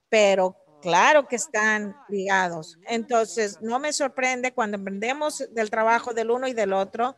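A woman working as a live interpreter for an academic talk, delivering it in halting, separate phrases. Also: fairly high pitch.

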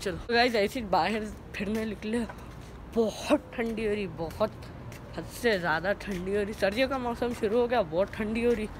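A teenage boy chats to his viewers in a casual vlog, his voice sitting a little high. The phone recording is thick with steady background noise. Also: moderate pace. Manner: chatty, addresses viewers as guys. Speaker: vlogger.

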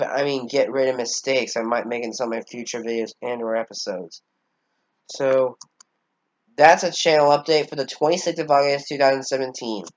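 A man's voice: mid-range voice, dull audio. A fourteen-year-old boy, congested, giving a rambling, hesitant channel update with casual swearing. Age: teens. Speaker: channel owner.

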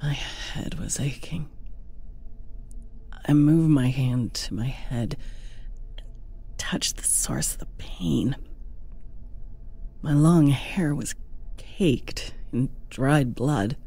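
Frightened captive village girl, performed by a female narrator, low and breathy, slow hushed horror storytelling. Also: heavily acted. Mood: dazed, hushed. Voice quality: breathy, often sinking to a whisper. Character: frightened young village wife held captive, narrating her ordeal. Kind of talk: dramatic audiobook horror narration.

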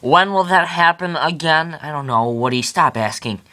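A man's voice: on the high side, expressive, moderate pace, pushed and bright. A man voicing an exasperated young male companion who keeps scolding his friend. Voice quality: dry, scolding.

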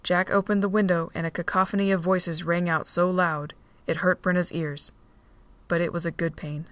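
Narrator, woman, low-ish voice, dry close sound. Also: moderate pace, no room sound. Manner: steady storytelling. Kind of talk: scripted narration of a fan audio drama.

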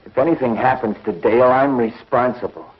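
An actor playing a determined young space hero in a 1950s television sci-fi serial. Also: male voice, medium pitch, a little fast, natural voice. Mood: worried, grave. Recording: dull vintage TV soundtrack.

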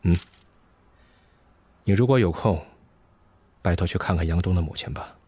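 A man's voice: sits low, normal speed, darkish tone, a little hiss or hum, close to an everyday voice. An actor playing a bitter, brilliant, hard-drinking physicist in an audio drama, sardonic and theatrical as he lectures. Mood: subdued, sorrowful.

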